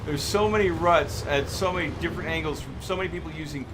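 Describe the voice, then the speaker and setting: Male game streamer, high voice, exasperated griping, casual chat, clearly noisy background with vehicle sounds. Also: quick pace. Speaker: streamer host.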